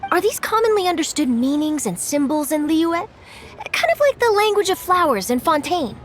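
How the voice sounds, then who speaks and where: A woman's voice: extremely high pitch, very animated, normal speed, a little hiss or hum. An actress playing an eager, curious young woman reporter in a video game, chirpy and enthusiastic as she talks. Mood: curious, delighted. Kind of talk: scripted video game dialogue acting. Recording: music behind the voice.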